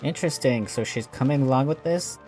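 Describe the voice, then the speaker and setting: A man's voice: medium pitch, fairly quick, warm tone. A man casually commenting while playing a game, musing with lots of fillers. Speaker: gamer. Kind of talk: let's play commentary. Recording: voice over game audio with music. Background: music.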